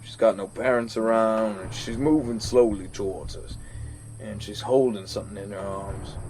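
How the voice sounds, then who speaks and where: An actor playing an earnest, plain-spoken army veteran, telling his story in a reflective audio drama monologue with halting pauses over music. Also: lowish voice, fairly even intonation, lightly acted. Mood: tense, storytelling. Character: weathered American army veteran, once a hot-tempered youth, earnest.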